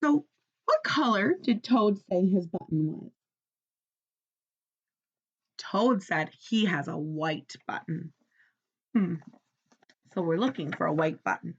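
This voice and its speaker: A woman teacher reading a children's story aloud in an expressive, instructional way, often stopping to ask questions. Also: fairly low pitch, slow pace, creaky, pauses often, husky, highs cut off.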